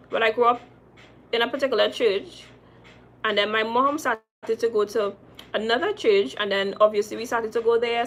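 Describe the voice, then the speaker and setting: A woman's voice: on the high side, a little hiss or hum. A young adult woman with a Trinidadian accent, chatting casually on a livestream, reading viewer comments and repeating her phrases for emphasis. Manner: chatty and lively, repeating phrases, calling viewers girl and sis. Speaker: makeup artist hosting a livestream.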